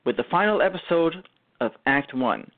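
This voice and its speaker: A man hosting a radio show, reading a formal, wordy introduction with halting stumbles. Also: a little high, sing-song. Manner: formal, elaborate announcer phrasing with halting stumbles. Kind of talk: live scripted show introduction. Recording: tinny phone-like internet radio line.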